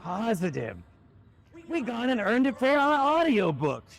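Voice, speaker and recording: A woman playing an earnest lunch lady sidekick in a live comic skit, with a creaky, emphatic delivery. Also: on the high side, big pitch swings, measured pace.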